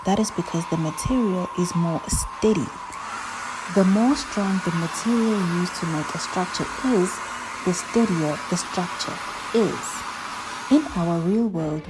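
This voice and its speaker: A woman plays an eager dragon doctor from a children's puppet show. The voice is soft-edged and mellow, explaining things chattily with repeated words. There is faint-to-clear noise in the homemade recording. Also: mid-range voice, natural voice, rough recording. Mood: agreeable, explanatory.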